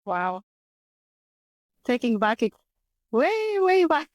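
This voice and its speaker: A woman's voice: sits high, sing-song, slow pace, no echo, clean studio sound. A middle-aged woman from Angola, a guest speaking casually in a podcast interview, often restarting her phrases. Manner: warm, restarts phrases often, laughs easily. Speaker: guest, dance teacher.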